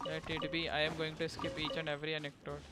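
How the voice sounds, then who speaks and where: A man's voice: a little high, monotone, fast. A man with an Indian accent chatting casually and informally as he livestreams a game. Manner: informal, slangy, switches between English and Hindi. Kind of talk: casual chat commentary over gameplay. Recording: stream mic over game music. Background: game music.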